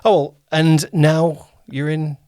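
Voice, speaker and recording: A man's voice: a little high, little pitch movement, slow pace, dark tone, dry recording, studio quality. A man chatting as a podcast host, interviewing a guest in a hesitant, encouraging, informal way. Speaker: podcast host and series producer.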